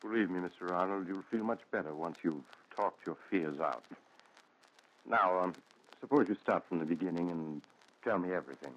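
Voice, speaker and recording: An actor playing a measured, theatrical storyteller-doctor in 1940s radio thriller style. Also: male voice, deep voice, fairly bright tone, slight background noise. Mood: calm, persuasive. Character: ominous mysterious storyteller, also a calm reassuring doctor. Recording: muffled 1940s radio recording.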